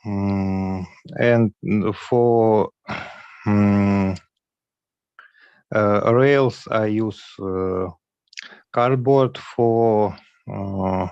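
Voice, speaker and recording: A man from Ukraine with a Ukrainian accent, speaking halting, nervous English full of pauses and fillers over a video call. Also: fairly low pitch, steady tone, darkish tone, clean tone, dry recording. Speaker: guest modeler. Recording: dull lo-fi call audio.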